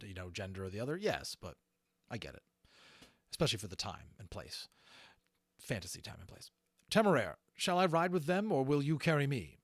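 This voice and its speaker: A man narrating a fantasy audiobook in an animated storytelling style, with informal asides. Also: sits low, no room sound.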